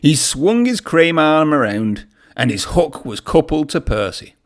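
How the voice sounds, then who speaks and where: A man's voice: on the high side, very animated, unhurried. A man reading a children's story aloud in a narrating style. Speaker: storybook reader.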